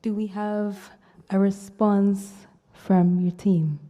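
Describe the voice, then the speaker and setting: A woman's voice: medium pitch, flat delivery, takes it slowly, mellow, a little hiss or hum. A woman moderating a live debate, inviting questions and correcting herself as she goes. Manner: hesitant, self-correcting. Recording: reverberant hall.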